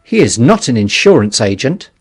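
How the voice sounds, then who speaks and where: A man's voice: medium pitch, sing-song, normal speed, slightly rough. A man voicing a sober, steadfast survivor in an audiobook story, firm and matter-of-fact as he exhorts. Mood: stern.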